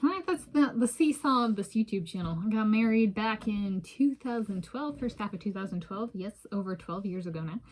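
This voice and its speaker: Halting, rambling American woman vlogger. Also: mid-range voice, a little mellow. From United States. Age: 30s. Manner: halting, rambling, repeats and circles back. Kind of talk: casual personal vlog monologue.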